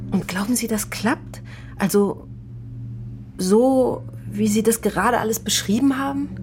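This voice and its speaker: An actress playing a worried, apologetic working-class aunt who speaks plainly and haltingly in a naturalistic radio crime drama. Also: female voice, on the high side, darkish tone, a little hiss or hum, natural voice. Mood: anxious, pleading. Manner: hesitant, halting, unsure, plain-spoken.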